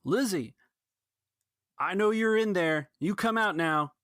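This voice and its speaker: Villainous private eye, played by a male game master: loud, theatrical, menacing, pitched above a normal talking voice. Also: slow pace, no room sound, studio quality. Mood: commanding threat. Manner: shouting, theatrical.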